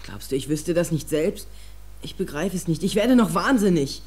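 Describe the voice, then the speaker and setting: A man's voice: fairly high pitch, lively intonation, moderate pace, close to an everyday voice. A male actor playing a distraught, defensive teenage boy in a German audio drama, speaking with anguish and agitation. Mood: agitated, defensive. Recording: faint hum and noise, cassette audio drama.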